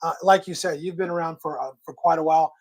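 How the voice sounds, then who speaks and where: A man's voice: a little high, fairly even intonation, quick pace. A man co-hosting a call-in livestream, talking casually and emphatically with many restarts. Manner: restarts often, emphatic and friendly. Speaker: livestream co-host.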